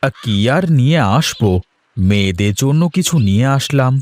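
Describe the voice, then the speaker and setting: A man voicing a kindly, doting middle-aged village father in an animated fairy-tale cartoon, speaking in a homely, deliberate way. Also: mid-range voice, close to an everyday voice. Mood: affectionate.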